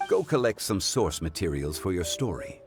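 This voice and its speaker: A man playing a dignified, wise gentleman consultant in a video game, speaking formally and with measured courtesy. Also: low voice, big pitch swings. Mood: calm, advisory. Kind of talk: video game cutscene acting. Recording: background music.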